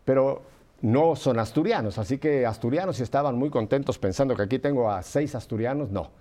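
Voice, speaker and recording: A man from Mexico hosting a religious talk show, interviewing guests in an expansive, animated way with playful asides. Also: mid-range voice, brisk. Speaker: host of a faith talk show.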